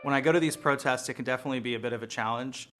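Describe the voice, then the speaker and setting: An American man, a lawyer and street photographer, speaking in an earnest, measured, reflective way in a documentary interview. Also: mid-range voice, fairly even intonation. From United States. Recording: music bed playing behind voice.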